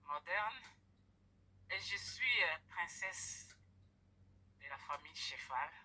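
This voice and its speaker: A middle-aged woman from the Democratic Republic of the Congo tells an audience her story. She is hesitant and pauses often. Her voice is bright and a little husky, and it comes through a thin, phone-like recording. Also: lowish voice, animated. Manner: hesitant, with many pauses and fillers. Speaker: guest speaker. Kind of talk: personal testimony to an audience.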